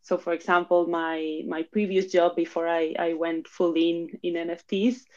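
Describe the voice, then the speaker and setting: A woman's voice: lowish voice, level tone, moderate pace. A woman in her thirties, born in Argentina and raised in Spain, chatting casually as a guest with frequent fillers. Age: 30s. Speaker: guest, NFT platform founder. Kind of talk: casual chat. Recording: dull lo-fi audio.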